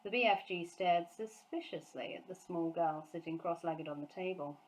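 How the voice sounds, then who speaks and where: A woman's voice: lowish voice, normal speed, low-quality recording. A woman teacher reading a children's storybook aloud as its narrator.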